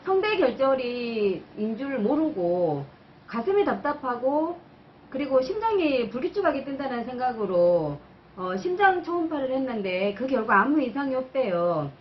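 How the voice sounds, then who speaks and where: A woman's voice: high-pitched, sing-song, a little slow, muffled recording, slight background noise. A woman channel host giving an explanatory personal talk in an interview-style format.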